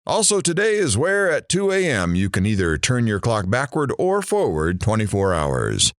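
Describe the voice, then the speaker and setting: A man's voice: medium pitch, wildly swooping pitch, normal speed, dry recording. A man playing a self-deprecating, dry-witted morning radio host presenting mock news in an audio drama. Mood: breezy, mock-serious.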